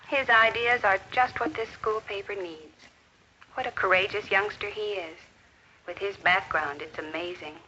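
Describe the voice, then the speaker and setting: An actress playing an earnest, caring high school teacher in a 1950s educational film, measured and formal in delivery. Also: female voice, sharp tone, lightly acted. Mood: pensive. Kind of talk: 1950s educational film acting with inner-thought narration. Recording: dull, noisy 1950s film soundtrack.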